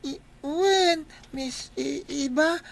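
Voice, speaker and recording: A man's voice: extremely high pitch, drawn-out words, gravelly, exaggerated voice. A performer playing a frightened young man who stammers and hesitates in a radio drama.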